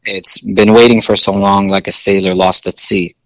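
A male singer with a low speaking voice, chatting casually in an interview with many fillers and restarts. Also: little pitch movement, moderate pace, dry recording, rough recording.

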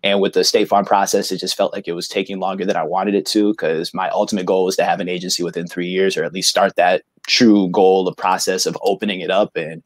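A man in his late thirties from Michigan chats as a podcast guest in a relaxed, rambling way, full of fillers. His voice sits a bit low. The sound is close and dry. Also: quick pace, few pauses, dry recording. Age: late 30s. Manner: easygoing, rambling, many fillers. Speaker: guest, insurance agent. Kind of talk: casual interview chat.

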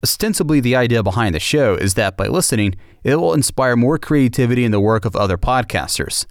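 A man's voice: mid-range voice, expressive, fairly quick, no room sound, clean studio sound. A man narrating a scripted podcast as its host.

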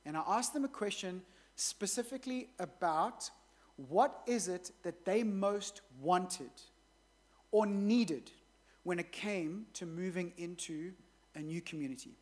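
A woman pastor introducing a sermon series and leading a prayer in an earnest, measured way. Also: lowish voice, a little mellow.

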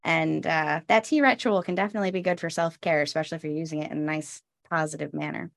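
A woman's voice: low voice, fairly even intonation, quick pace, creaky. A woman in her thirties hosting a podcast, chatting casually and laughing often, with self-deprecating asides. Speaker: podcast host. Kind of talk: casual chat.

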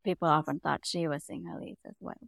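A middle-aged woman from Sri Lanka with a Sri Lankan accent, a native Tamil speaker, telling her story as an interview guest and growing animated as she goes. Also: quick pace, vocal fry, no room sound, clean studio sound. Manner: animated, rising in pitch and volume when telling a story. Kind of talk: conversational storytelling.

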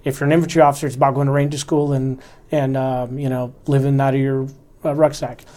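A man's voice: medium pitch, little pitch movement, fast talker, mellow. A man in his forties, an army officer giving an interview, talking earnestly and instructively about the lessons he has learned. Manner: earnest, instructive, stresses fundamentals. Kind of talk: reflective interview talk.

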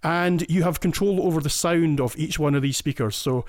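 A man's voice: a little high, moderate pace, darkish tone, no echo, studio quality. A man with a Scottish accent, a listener guest on a podcast, explaining his home audio setup in a chatty, detailed way. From Scotland. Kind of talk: casual explaining.

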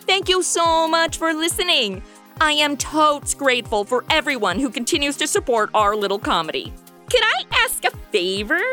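A woman's voice: high-pitched, bright, forceful tone, full character voice. A woman playing a brash, sarcastic young princess with blunt, slangy delivery in a radio comedy. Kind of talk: comedy radio play acting.

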